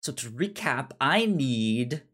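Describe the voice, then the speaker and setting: Male podcast co-host, leisurely and rambling, lively intonation, casual pop-culture banter. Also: medium pitch, slow, slightly rough. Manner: rambling, repeats words and phrases.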